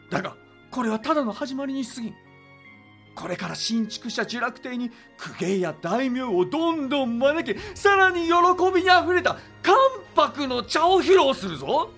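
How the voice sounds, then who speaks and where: A man's voice: extremely high pitch, a bit bright, full character voice. A man playing an exuberant, imperious warlord ruler in a Japanese period audio drama, with grandiose, old-fashioned lordly speech.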